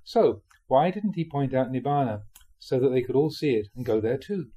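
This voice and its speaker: A man reading Buddhist teachings aloud like a monk giving a dharma talk, with measured, emphatic phrasing. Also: medium pitch, lively intonation, warm tone, husky, lo-fi sound.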